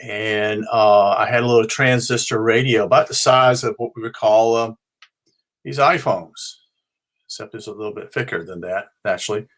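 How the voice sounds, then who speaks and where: A middle-aged or older man from North Carolina with an American accent, giving an informal, unscripted explanation as a hobbyist host in a halting, rambling way, often correcting himself. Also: on the low side, fairly bright tone. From North Carolina, United States. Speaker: ham and shortwave radio hobbyist presenting his show.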